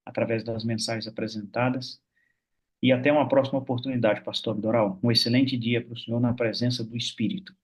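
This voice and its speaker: Church meeting host, husky-voiced man, chatty, over a video call. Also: medium pitch, flat delivery, a little fast. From Minas Gerais, Brazil. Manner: warm, chatty, many fillers like "né". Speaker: host of an online prayer meeting. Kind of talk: casual church meeting hosting.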